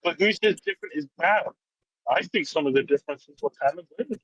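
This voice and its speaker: A young co-host speaks with a husky, slightly high voice. His sound is dry and close, and he talks haltingly, unsure of what to say. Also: fairly bright tone, no room sound, muffled recording. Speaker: podcast co-host. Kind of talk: casual film discussion.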